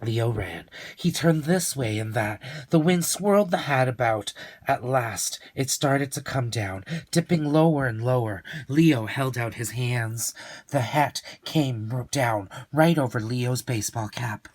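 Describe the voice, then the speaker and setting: A man reading a children's story aloud in an expressive, deliberate and dramatic narrating style. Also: medium pitch, no room sound.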